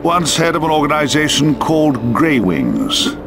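An actor playing a weary, urbane old spymaster in a video game, musing theatrically and cryptically in drawn-out phrases. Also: male voice, fairly high pitch, huge pitch swings, vocal fry, noisy background, full character voice. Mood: solemn, cryptic. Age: older. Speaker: mysterious spymaster. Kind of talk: video game cutscene dialogue and narration.

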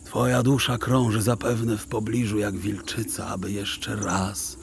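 An actor playing a kindly, pious old traveling sage in an audio drama, speaking gently and solemnly in a hushed, half-whispered voice. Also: male voice, fairly low pitch, little pitch movement, vocal fry, slight background noise. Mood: sorrowful, tender. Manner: gentle, solemn, slow speech.

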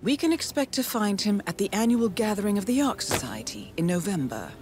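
A woman playing a composed intelligence handler in a video game, giving measured, formal and precise mission briefings. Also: medium pitch, big pitch swings, natural voice. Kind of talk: scripted mission briefing narration. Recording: loud cinematic music behind. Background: loud music score.

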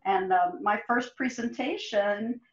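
A woman's voice: medium pitch, takes it slowly. A woman who teaches at a university, recalling an online course in a chatty storytelling way on a video call. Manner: storytelling with light laughs. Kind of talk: casual video call chat. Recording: echoey lo-fi call audio.

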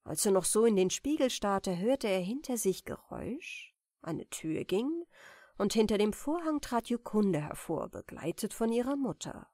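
A woman softly and deliberately narrating a fairy tale as a bedtime audiobook. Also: medium pitch, dry recording, studio quality. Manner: soft, deliberate, expressive. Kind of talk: gentle bedtime story reading.

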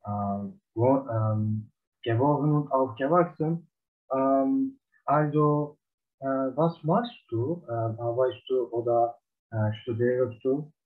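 A man's voice: medium pitch, fairly even intonation, slow pace. A man in his twenties with a Turkish accent, practicing a German speaking exam with many hesitations. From Turkey. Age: 20s. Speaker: language learner. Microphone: video call. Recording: tinny phone-like online call.

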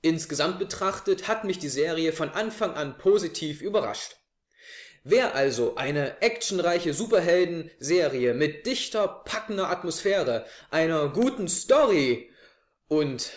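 Higher-voiced young man in an echoey room. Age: young adult. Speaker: host of an audio drama review show. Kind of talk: prepared review to camera. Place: living room, on a sofa.

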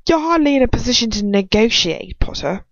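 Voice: mid-range voice, very animated, brisk, creaky, no room sound, lo-fi sound, pitched above the performer's own voice. An amateur reader voicing a petulant, taunting teenage boy wizard rival in a storybook reading.

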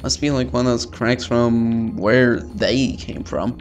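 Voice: mid-range voice, takes it slowly, soft background music. A let's player reading visual novel text aloud in an informal way, stumbling over words and dropping in casual asides.

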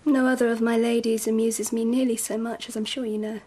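An actress playing a gracious, teasing and wistful Empress in a radio drama, speaking softly and often close to a whisper, in a gentle, measured way. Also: female voice, fairly high pitch, little pitch movement, close to an everyday voice. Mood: tender, wistful. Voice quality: soft, breathy, often near whisper. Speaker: actor.

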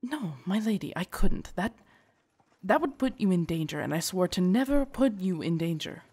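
A woman's voice: lowish voice, very animated, normal speed, a little mellow, slightly rough, full character voice. A woman playing a devoted, earnest female knight in an audio drama, speaking in a formal, courtly way that turns hesitant and shy. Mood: troubled, protective. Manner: formal, courtly, hesitant and stammering when flustered. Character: devoted female knight, tender, earnest, shyly lovestruck royal guard. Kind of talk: roleplay audio drama, intimate scripted monologue.

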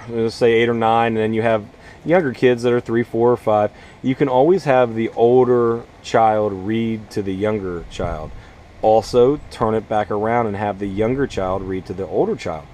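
A man's voice: lowish voice, a little mellow. A man in his thirties, a schoolteacher, speaking informally to children and parents and explaining things step by step in simple words. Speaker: schoolteacher host. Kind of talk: read-aloud and informal lesson addressed to children and parents. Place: inside a small plastic-sheeted wooden greenhouse.

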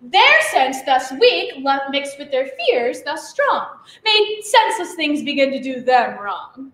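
Giggling sing-song sprite voiced by a woman, bright, roomy sound. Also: high-pitched, big pitch swings, slow, exaggerated voice. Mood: gleeful. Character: mischievous sprite Puck, gleeful trickster. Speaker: performer at a monologue contest. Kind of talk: live Shakespeare monologue.

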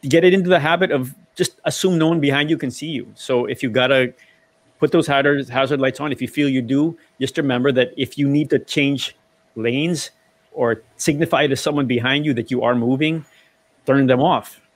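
A man's voice: mid-range voice, fairly even intonation, normal speed. A middle-aged man in a casual panel discussion about driving, explaining at length and often restarting sentences, on a video call from a home study. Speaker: motoring panelist.

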